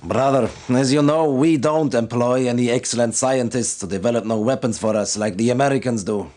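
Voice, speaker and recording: A man plays a stern military commander briefing his officers in a video game. His mid-range male voice is solemn and devout. He speaks formally and deliberately, as one used to giving orders. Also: medium pitch, darkish tone, close to an everyday voice. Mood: grave, measured.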